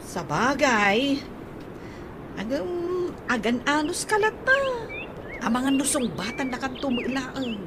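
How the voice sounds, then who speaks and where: A woman's voice: sits high, sing-song, measured pace, long pauses, background noise. A woman playing a practical, nagging older sister who gives advice, in a radio drama with an Ilocano accent. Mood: advising.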